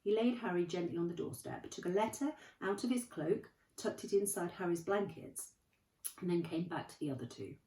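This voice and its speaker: Woman teacher, expressive read-aloud, warm lowish tone; distant, echoey recording. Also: moderate pace. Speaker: primary school teacher. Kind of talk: reading a storybook aloud. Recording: roomy, from off the mic.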